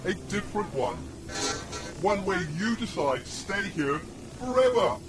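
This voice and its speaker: An actor plays a solemn, dying man trapped by a curse in an audio drama. His voice sits on the high side for a man, with halting, weary phrasing over prominent music. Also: very animated, low-quality recording. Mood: weary, confessional.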